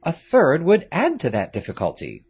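A polite young Englishman refuses to back down, as a man reads him. He speaks quietly but firmly, his husky voice pitched up and lively. It is a storybook figure. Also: normal speed, heavily acted. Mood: resolute. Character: brave, thoughtful English youth, polite but resolute.

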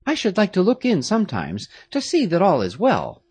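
A narrator voicing a polite young English gentleman. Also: medium pitch, wildly swooping pitch, slightly rough, voice pushed up. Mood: earnest. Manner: measured, practical, polite.

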